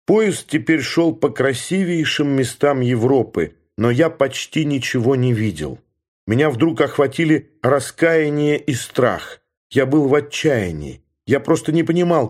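A man giving a steady, measured first-person narration from a novel, in the manner of an audiobook reader. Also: medium pitch.

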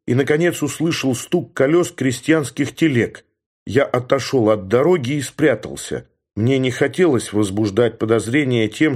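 A man reads a novel aloud in the first person, steady and measured. His voice sits at an ordinary middle pitch for a man. The delivery is calm, careful audiobook narration. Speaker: audiobook reader.

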